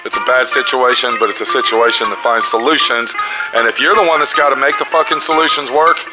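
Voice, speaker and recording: A man in his fifties with a raspy voice, ranting and swearing nonstop as he shows work in his auto body garage. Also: medium pitch, brisk, over loud music, low-quality recording. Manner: heavy swearing, pissed-off ranting. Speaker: custom auto body shop owner. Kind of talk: angry workshop vlog. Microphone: camera held close at arm's length.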